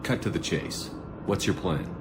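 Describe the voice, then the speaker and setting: A man's voice: low-pitched, animated, a little slow, very noisy. A man acting as a hard-nosed military commander in a video game, blunt, terse and a little sardonic. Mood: curt, impatient. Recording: loud music under the voice.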